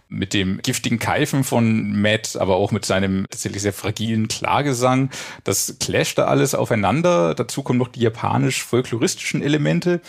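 A man's voice: fairly low pitch, creaky. A man giving a conversational album review as co-host of a music podcast.

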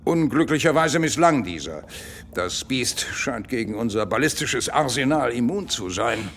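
An actor playing a stern, impatient military commander with a raspy voice in a comic sci-fi radio play. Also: male voice, mid-range voice, animated, moderate pace, fairly bright tone, a little hiss or hum, close to an everyday voice. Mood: grave. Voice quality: raspy, gravelly. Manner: barking, formal military diction.

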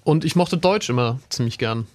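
A man's voice: mid-range voice, lively intonation, normal speed, dry recording. A man chatting casually as a guest, in a laid-back and understated way. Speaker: professional handball player, guest. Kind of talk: casual chat.

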